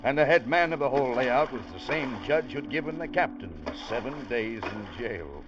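A man's voice: mid-range voice, expressive, moderate pace, loud music behind the voice, natural voice. An actor in a vintage radio drama playing a plainspoken, folksy seaman who tells a tale. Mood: wry storytelling. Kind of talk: 1950s radio drama narration. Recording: noisy muffled old radio recording.

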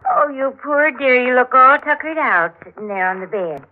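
A woman's voice: high-pitched, lively intonation, moderate pace. An actress playing a chatty, motherly, intrusive neighbor, genteel and insistent, in 1940s radio drama style. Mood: sweetly fussing. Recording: tinny, narrow-band 1940s radio recording.

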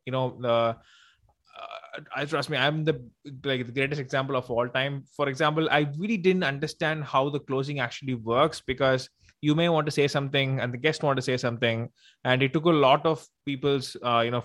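A man hosting a podcast interview, talking in a rushed, halting way with many restarts. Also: mid-range voice, a bit bright. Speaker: podcast host. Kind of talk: casual interview chat.

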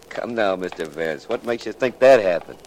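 A man's voice: sits low, animated, normal speed, darkish tone, slight background noise. An actor playing a genial, talkative beat policeman in a late 1940s radio drama, folksy and emphatic. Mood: amused.

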